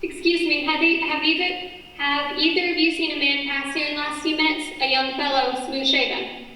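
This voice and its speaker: A woman playing a brisk, bossy police officer in an old-time radio play. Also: high voice, flat delivery, bright, forceful tone, slight background noise. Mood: brusque. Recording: echoey hall, far from mic.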